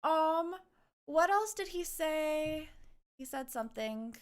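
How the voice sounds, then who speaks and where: A woman's voice: high voice, lively intonation, slow pace. A woman doing casual livestream commentary, rambling and thinking aloud as she reacts to a game. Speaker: streamer reacting to a game trailer.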